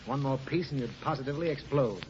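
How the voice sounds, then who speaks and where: A man's voice: mid-range voice, fast talker, lightly acted. A man playing a fussy, easily flustered father reasoning with his small daughter in a 1940s radio comedy. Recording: muffled, noisy 1940 radio, studio audience.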